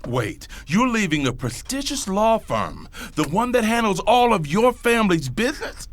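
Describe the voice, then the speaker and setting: A man's voice: sits high, very animated, normal speed, a little hiss or hum. A man playing a stern, middle-aged, domineering father of a wealthy family in an audio drama, with a commanding, emphatic delivery. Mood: indignant. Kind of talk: dramatized audio fiction acting.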